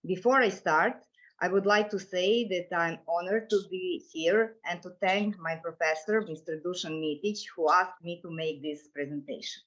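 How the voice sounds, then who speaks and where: Middle-aged Serbian-born coach, formal measured delivery, lower voice, muffled call sound. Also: female voice, fairly bright tone. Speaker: coach and commission president. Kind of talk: prepared presentation. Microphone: video call.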